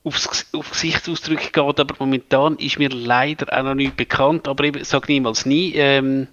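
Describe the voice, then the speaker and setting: A man's voice: medium pitch, level tone, moderate pace, fairly bright tone, highs cut off, a little hiss or hum. A man with a Swiss German accent co-hosting a radio tech show, thinking aloud with many fillers. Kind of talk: casual radio chat.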